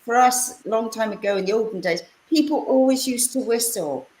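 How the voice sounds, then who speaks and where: A woman's voice: fairly high pitch, a little fast, a little mellow, a little hiss or hum. A woman, probably middle-aged, hosting a radio show with a chatty, rambling and complimentary introduction of a guest. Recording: roomy, echoing voice pickup.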